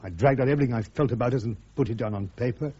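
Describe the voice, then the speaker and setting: An actor with a British accent playing a proud, wounded army officer and husband in a radio drama, earnest and clipped. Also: male voice, mid-range voice, racing, mellow, breathy edge, natural voice. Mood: pleading, hurt. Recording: dull, lo-fi radio drama recording.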